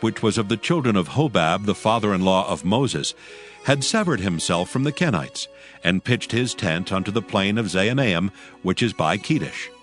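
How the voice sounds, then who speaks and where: A man serves as narrator of a dramatized scripture. His lowish voice tells the archaic text in a solemn, measured way. Soft music sits beneath him.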